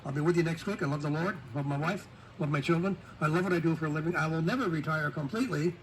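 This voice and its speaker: A talk radio host, a man with a fairly high voice, talks very quickly and fluently. He stacks phrases into emphatic lists. The voice comes out of a radio speaker with faint noise behind it. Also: rough recording. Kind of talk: radio talk show sign-off.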